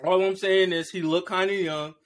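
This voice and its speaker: A man co-hosting a podcast in a relaxed, casual chat, speaking loosely and slangily and often tagging "bro" onto his lines. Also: sits high, moderate pace. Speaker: podcast co-host. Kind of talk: casual chat about shows and movies.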